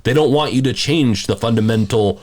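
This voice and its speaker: A man's voice: medium pitch, a little fast, darkish tone. A man co-hosting a casual podcast, thinking aloud in a loose, rambling way as he gives opinions. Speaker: podcast host.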